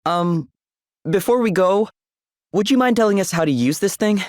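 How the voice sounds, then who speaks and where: Polite young game hero, lively intonation, earnest, dry close sound. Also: male voice, high voice, normal speed, dry recording. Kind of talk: video game cutscene acting.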